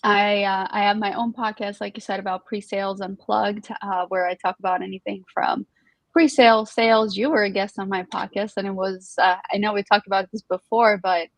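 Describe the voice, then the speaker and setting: A woman's voice: medium pitch, steady tone, brisk, no echo. A woman co-hosting a casual chat, friendly and talkative, often saying "like". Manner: friendly, chatty with filler likes. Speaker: co-host, podcaster.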